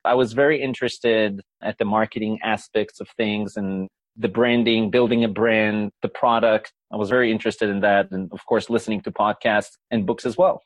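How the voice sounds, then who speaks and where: A man's voice: on the low side, steady tone, normal speed, few pauses, no echo. A man, explaining things earnestly and laughing easily as an interview guest. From Israel. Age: 40s. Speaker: guest, company founder. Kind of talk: conversational interview.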